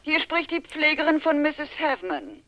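An actress playing a nurse speaking over the phone in a radio play. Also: female voice, high voice, sing-song, moderate pace, heavy background noise. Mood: concerned. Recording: tinny phone line.

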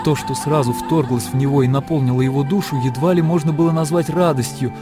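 Мужчина читает литературное повествование от автора в радиоспектакле. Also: male voice, a little high, fairly even intonation, fast, over music. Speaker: narrator. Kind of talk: scripted literary narration in radio drama.

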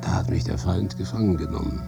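An actor playing a battle-worn, one-handed soldier, halting and confiding, in a radio drama. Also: male voice, low-pitched, vocal fry. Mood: eager, confiding. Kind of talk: radio drama dialogue and monologue. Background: music.

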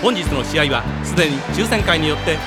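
An actor playing an excitable cartoon soccer stadium announcer, calling the match with dramatic emphasis. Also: male voice, a little high, brisk, lightly acted. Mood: eager anticipation. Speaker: sports play-by-play commentator. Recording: loud music behind the voice.

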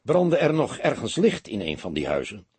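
Man playing a very husky, wry police detective. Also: mid-range voice, huge pitch swings, normal speed, darkish tone, no room sound, exaggerated voice. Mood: probing. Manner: dry, teasing, lecturing. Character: seasoned male police detective, wry, mocking, fatherly.

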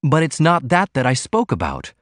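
A calm, sympathetic middle-aged mother, as a male narrator puts her on, speaks gently and steadily, guiding her daughter. The voice is lifted a little above his own, lively in its turns. The recording is dry and close. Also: fairly high pitch, normal speed, no echo, voice pushed up. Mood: gentle guiding. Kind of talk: audiobook character reading.

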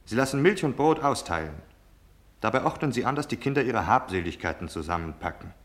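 An actor in a German radio drama playing a cold, domineering officer, curt, impatient and cynical in giving orders. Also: male voice, mid-range voice, very animated, brisk, a bit bright. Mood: barking impatient orders. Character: cold, domineering military officer delivering deadly orders, male.

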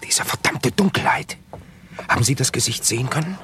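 An actor playing a deferential, eager, slightly naive detective's assistant in a German radio crime drama. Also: male voice, medium pitch, lively intonation, brisk, background noise, lightly acted. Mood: puzzled, excited. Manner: respectful, eager reporting to his superior.

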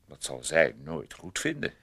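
A man's voice: extremely low pitch, huge pitch swings, unhurried, vocal fry, a bit bright, husky, heavily acted. An actor in a radio play playing a jealous, possessive man who is scornful, clinging and taunting. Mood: subdued, wary. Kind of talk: Dutch radio play acting.

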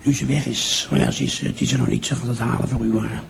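A man's voice: medium pitch, steady tone, quick pace, gravelly, noisy background. An actor playing a mischievous elderly father who rambles, repeats himself and hesitates, in an improvised radio drama. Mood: hesitant, curious.

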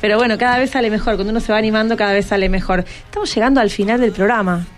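A woman's voice: medium pitch, steady tone, very fast, soft background music. A woman with an Argentine accent hosting an informal radio interview with students. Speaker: radio host.

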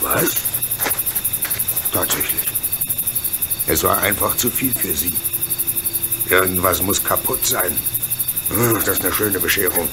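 An actor playing a gruff, hearty, good-natured locomotive driver in a German radio play. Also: male voice, low voice, slow pace, pauses often, noisy background. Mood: relieved, weary.

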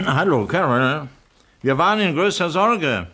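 A man's voice: a little high, animated, slow, gravelly, sharp tone, full character voice. An actor playing a composed, middle-aged doctor and father in a 1960s radio crime drama. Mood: measured.

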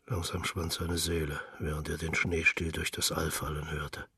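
A man narrating a literary radio drama as a brooding, melancholy storyteller, solemn and measured, with a husky, gravelly voice. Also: very deep voice, flat delivery, sharp tone, dry recording, distant mic, exaggerated voice. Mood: quiet, elegiac.

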